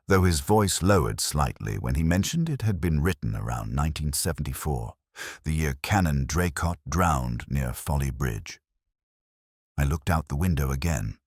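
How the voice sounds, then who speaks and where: A middle-aged man narrating a first-person detective story in a brooding, measured, literary way. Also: a bass voice, slightly rough, no echo, clean studio sound.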